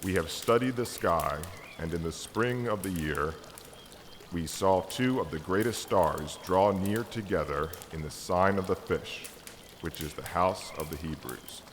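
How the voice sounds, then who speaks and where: A devout ancient stargazer played by a man declaims in a very low voice, solemn and full of earnest hope. It is a dramatic story reading, heard in a reverberant hall with some noise. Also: measured pace. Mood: earnest, hopeful. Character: Artaban, devout, hopeful Persian Magus and stargazer. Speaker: amateur church reader.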